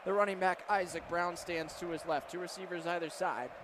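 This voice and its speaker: A man doing live football play-by-play for radio, giving crisp, detailed calls of each situation. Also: sits high, fairly even intonation, a little fast. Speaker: play-by-play announcer. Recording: clear stadium noise behind.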